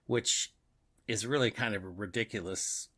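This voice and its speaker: Bright-voiced man discussing books. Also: fairly low pitch, normal speed. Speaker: podcast co-host. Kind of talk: casual book and radio drama discussion.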